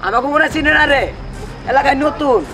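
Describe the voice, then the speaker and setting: A bullying cartoon thug acted by a man, extremely high-pitched for a man. He barks menacing challenges over loud music and crowd noise. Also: a little fast. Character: bullying male neighborhood extortionist thug. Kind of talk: Bengali comedy cartoon dubbing.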